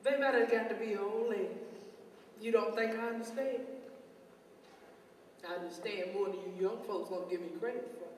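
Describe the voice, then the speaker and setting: A woman's voice: medium pitch, brisk, pauses often, close to an everyday voice. Woman playing a stern old mama, echoey stage. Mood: knowing, firm. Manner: admonishing, preachy, commanding. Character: stern, devout old mother-in-law scolding her son-in-law. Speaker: stage actor. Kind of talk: stage play acting. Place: indoor stage set in a theater. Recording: echoey theater, distant mic, noisy background.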